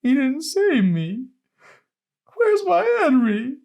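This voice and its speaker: A man voicing a frail, distraught elderly grandmother in an audiobook, with a strained, cracking, halting voice. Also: very high-pitched, very animated, gravelly, darkish tone, voice pushed up, heavily acted. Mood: desperate, pleading. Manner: halting, stammering. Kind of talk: audiobook character voice.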